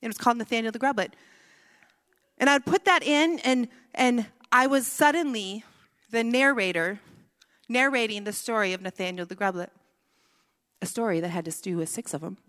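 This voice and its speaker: A woman's voice: on the high side, moderate pace, pauses often, fairly bright tone. Playful woman preaching in a hall. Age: adult with a son. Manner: playful storytelling, prayerful later. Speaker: women's ministry speaker. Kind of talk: sermon. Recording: echoey hall.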